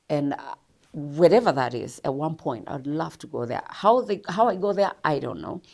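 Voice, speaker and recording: A woman's voice: extremely low pitch, gravelly, a little mellow. A Kenyan woman telling her life story as an interview guest, speaking in a reflective, earnest way with laughs now and then.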